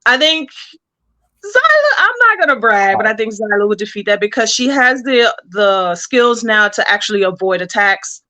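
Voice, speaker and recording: A woman's voice: mid-range voice, fairly even intonation, moderate pace, few pauses, sharp tone. A woman speaking casually as a creator being interviewed about their work.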